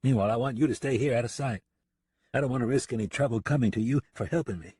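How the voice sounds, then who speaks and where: A man's voice: mid-range voice, quick pace, warm tone, a little hiss or hum, voice pushed up, close to an everyday voice. A man voicing a protective, plain-spoken cowboy in a western audiobook. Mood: calm, caring. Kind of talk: western audiobook character acting.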